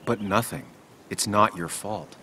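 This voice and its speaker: An actor playing a gentle, weary, consoling man in a dramatic video game, speaking in a measured and reassuring way. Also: lowish voice, a little hiss or hum. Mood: tender, consoling.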